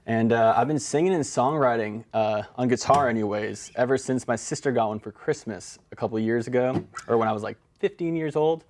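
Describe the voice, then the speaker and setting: Young man, American accent, medium pitch, casual storytelling, informal and meandering. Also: brisk, few pauses, darkish tone, slight background noise. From Brazil-born, raised in the San Francisco Bay Area. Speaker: guest singer-songwriter.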